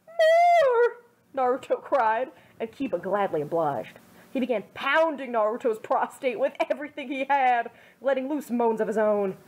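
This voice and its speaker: A woman's voice: on the high side, darkish tone, low-quality recording. Young woman reading her own fan fiction. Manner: wry, self-mocking asides with fillers.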